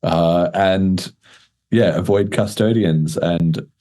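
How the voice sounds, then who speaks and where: Male podcast host, low pitch, relaxed dark tone, informal and slangy. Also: takes it slowly. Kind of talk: casual podcast chat.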